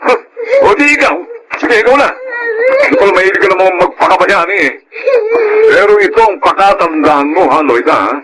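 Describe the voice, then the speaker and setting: A man's voice: high voice, lively intonation, normal speed, long pauses, over a noisy crowd, low-quality recording. An actor playing a callous, hot-tempered middle-aged Filipino father in a radio drama, scornful and boastful. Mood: threatening.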